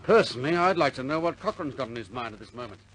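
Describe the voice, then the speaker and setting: A man's voice: medium pitch, expressive, fast, a bit bright, a little hiss or hum, natural voice, poor audio. An actor playing a plucky, stubborn young RAF bomber airman in a 1950s British war film, blunt and informal in manner. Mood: firm, reassuring.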